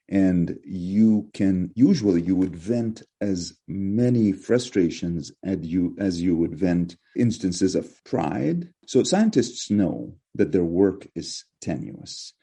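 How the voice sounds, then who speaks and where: Give me a middle-aged man from Egypt, Arabic, speaking English in a low, husky voice. His answers are measured and probing, with frequent restarts as he thinks aloud. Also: expressive, warm tone. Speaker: professor, guest. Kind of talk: reflective interview answers.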